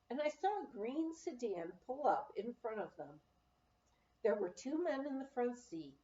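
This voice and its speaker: A woman narrates a memoir with a lively, varied pitch that sits a little high. She reads in a measured storyteller's way, leaning on key words for dramatic effect. The recording is roomy and slightly dull. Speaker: audiobook reader. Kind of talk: expressive memoir narration read aloud.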